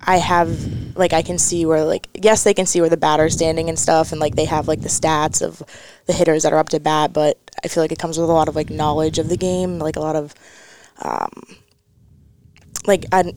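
A young woman from New Hampshire, a college softball catcher, answering in a relaxed, hesitant way with many likes and ums. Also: low-pitched, fairly quick, a little hiss or hum. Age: young adult. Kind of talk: interview answers.

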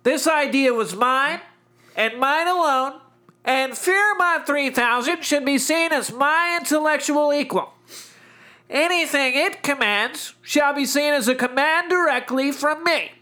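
A man's voice: extremely high pitch, measured pace, pushed and bright, voice pushed up, heavily acted. A man voicing a grandiose, tyrannical alien space lord, proclaiming in a pompous, imperious manner. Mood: grandly proclaiming.

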